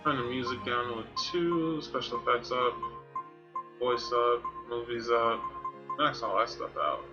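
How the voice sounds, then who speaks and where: A man's voice: mid-range voice, steady tone, measured pace, highs cut off. Male game streamer, mid-range voice, offhand casual commentary, music playing behind. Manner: offhand, muttering to himself.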